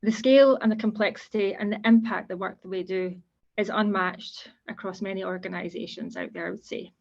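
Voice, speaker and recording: A woman with a Scottish accent giving an online careers presentation as a guest speaker, explaining in a steady, informal way. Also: mid-range voice, brisk, gravelly. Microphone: video call. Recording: dull lo-fi call audio.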